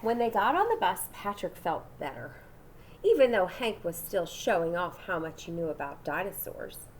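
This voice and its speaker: A woman's voice: lowish voice, sing-song, normal speed, a little hiss or hum, poor audio. A woman reading a children's storybook aloud dramatically, with asides and questions to her young listeners. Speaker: storybook reader.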